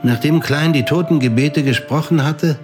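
A man's voice: on the high side, normal speed, music in the background. A man reading scripted literary narration for an audio drama in a sober, composed way.

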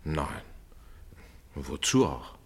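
A middle-aged family doctor in a radio drama. His voice is deep, and he speaks in a composed, courteous, measured way. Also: big pitch swings, breathy edge, heavily acted. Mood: guarded. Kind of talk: German radio play acting.

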